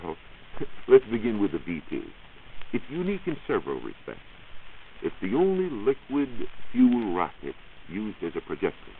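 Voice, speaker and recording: American guy explaining science on 1940s radio, deep voice that moves around a lot, didactic. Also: huge pitch swings, normal speed, narrow, tinny audio. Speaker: science reporter. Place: radio studio. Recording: hissy band-limited 1940s radio recording.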